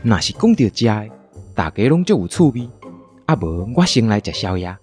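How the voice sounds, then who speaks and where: A man's voice: on the low side, big pitch swings, moderate pace, darkish tone, faint music behind. A man with a Taiwanese accent hosting and narrating a scripted children's story in radio-drama style. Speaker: storyteller and show host.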